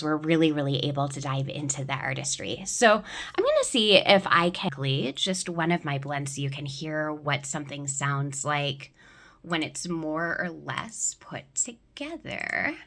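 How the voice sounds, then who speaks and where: A woman's voice: sits low, animated, measured pace, fairly bright tone. A woman working as a choir director explains things step by step in an informal spoken tutorial.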